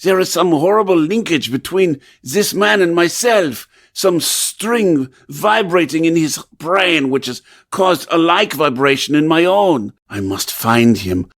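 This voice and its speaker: Feverish obsessed composer, higher-pitched male voice, creaky, theatrical radio drama, halting, faint music behind. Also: animated, fairly bright tone, heavily acted. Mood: obsessed, desperate. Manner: intense, theatrical, halting and repeating words. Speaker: lead actor in radio play. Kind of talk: old-time radio theatre acting with dramatic narration.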